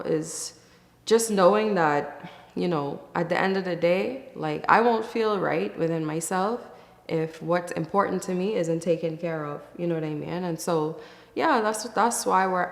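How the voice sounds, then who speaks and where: Woman, from the Bahamas, lowish voice, casual and reflective, candid, pausing to think, echoey recording. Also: gravelly. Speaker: interview guest, musician. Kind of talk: casual reflective interview answers. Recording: echoey, reverberant room.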